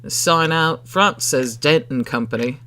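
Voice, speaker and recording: A man voicing a curious mid-thirties gentleman customer in a storybook, with a questioning, insistent manner. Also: medium pitch, a little slow. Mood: doubting.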